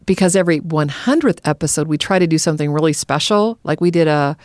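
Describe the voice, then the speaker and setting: A woman hosting a podcast, presenting in a polished, clearly phrased way. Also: low voice, quick pace, a little mellow, no echo, studio quality. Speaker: podcast host. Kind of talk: hosting, presenting listener questions.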